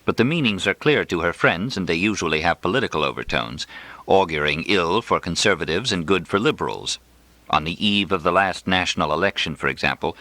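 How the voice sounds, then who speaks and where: A man reading literary first-person fiction as an audiobook, in an expressive, wry storytelling manner. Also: deep voice, no room sound. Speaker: audiobook reader.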